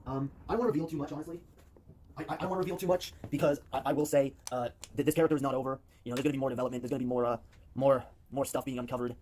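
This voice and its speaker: Rapid-fire man with a put-on British accent. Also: medium pitch, steady tone, a little mellow, slight background noise. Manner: rambling, many fillers and restarts. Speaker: streamer answering viewer questions. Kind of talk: casual stream talk in character.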